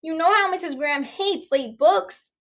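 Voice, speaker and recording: A woman's voice: very high voice, normal speed, fairly bright tone, voice pushed up. A woman voicing an anxious young girl in a storybook, pleading and wailing dramatically. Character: anxious young girl fretting over a lost book.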